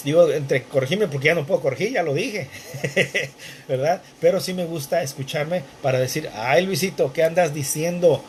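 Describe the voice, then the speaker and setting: A man teaching the Bible from his bedroom at home, talking haltingly with many fillers and restarts while wearing headphones. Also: a little high, darkish tone, slight background noise. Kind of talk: conversational Bible study intro.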